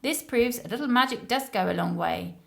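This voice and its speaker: A woman voicing an excitable male storybook character with an exclamatory, pleading way of talking. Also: on the high side, fairly quick, gravelly. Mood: pleased. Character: male storybook character, panicky, regretful, eager when fed.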